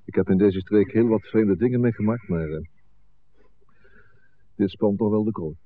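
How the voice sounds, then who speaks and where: Casting: male actor as courteous, thoughtful police inspector, lowish voice, vintage radio play, phone-like sound. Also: moderate pace, natural voice. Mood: musing, courteous. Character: urbane male police inspector, dryly curious and polite. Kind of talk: 1960s radio drama acting. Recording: thin, band-limited old radio recording.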